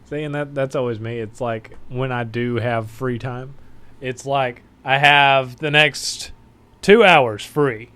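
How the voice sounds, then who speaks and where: A man's voice: medium pitch, fairly even intonation, slow, bright, forceful tone, smooth. Friendly guy guesting on a podcast, just bantering, mid-range voice. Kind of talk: casual chat.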